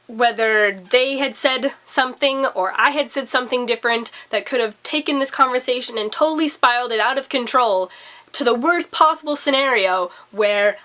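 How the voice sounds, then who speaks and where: A young woman talking casually to camera, her voice still hoarse and recovering after being sick, rambling and confessional with lots of fillers. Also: on the high side, fairly bright tone. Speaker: vlogger.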